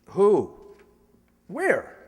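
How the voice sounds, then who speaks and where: An actor playing an earnest, flustered young son in love, emphatic and pleading, in a radio comedy. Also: male voice, high voice, huge pitch swings, drawn-out words, breathy edge, exaggerated voice. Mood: eager, flustered. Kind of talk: old-time radio comedy acting. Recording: reverberant hall, stage radio play.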